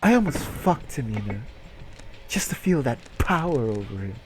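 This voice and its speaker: A man's voice: mid-range voice, very animated, normal speed, slight background noise, natural voice. A man voicing a weary, flirtatious, confiding woman from an erotic story in a comedic dramatic reading.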